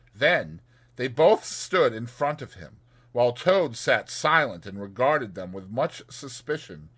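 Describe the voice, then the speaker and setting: A male audiobook narrator with a bright voice. He reads scripted storybook prose in a dramatized style, with lively swings in pitch. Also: breathy edge.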